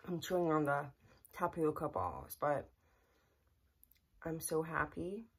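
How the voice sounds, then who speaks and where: A woman's voice: deep voice, big pitch swings, slow, breathy edge. A young woman talking earnestly and plainly to the camera in a casual personal vlog from a small bedroom. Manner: earnest, repetitive, plain-spoken. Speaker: vlogger. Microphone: camera in front at close range.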